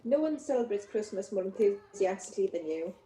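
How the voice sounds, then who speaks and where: A woman's voice: medium pitch, animated, fairly quick, close to an everyday voice. A woman playing a loving, contented middle-aged wife in an amateur radio play. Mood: loving, tender. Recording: echoey, noisy home recording.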